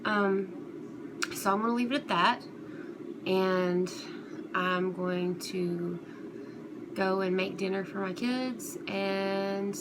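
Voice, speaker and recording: Clear background noise sits behind a woman with a mid-pitched voice. She talks off the cuff like a vlogger addressing subscribers, halting and rambling in a conversational way. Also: steady tone. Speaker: YouTube commentary host. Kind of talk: casual unscripted vlog talk to viewers.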